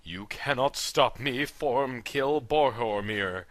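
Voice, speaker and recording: A man voicing a boastful half-bat villain general in a deep, menacing tone, in a comic reading of a story. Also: takes it slowly, a bit bright, dry recording. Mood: gloating.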